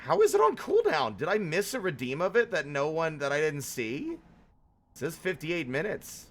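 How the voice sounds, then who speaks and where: A man's voice: fairly high pitch, big pitch swings, moderate pace. A man chatting casually as he hosts a livestream, playful and theatrical, rambling between greetings and exclamations. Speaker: live streamer, host. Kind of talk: casual livestream chat with viewers.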